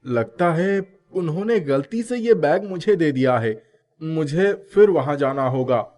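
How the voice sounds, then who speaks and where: A man voicing a kind, humble schoolboy in an animated moral story, speaking politely and expressively. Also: fairly high pitch, measured pace. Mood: puzzled, amazed. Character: kind, earnest schoolboy, humble and easily flustered.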